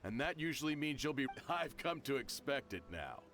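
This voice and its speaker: A man's voice: medium pitch, very animated, moderate pace. A man playing a genial, humble middle-aged father in an anime-style video game, with a self-deprecating way of talking. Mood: genial, sheepish.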